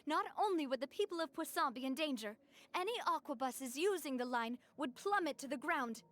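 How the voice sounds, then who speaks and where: An actress playing a determined young woman who leads her crew in a game cutscene, brisk and decisive. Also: sits high, expressive. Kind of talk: dubbed game voice acting.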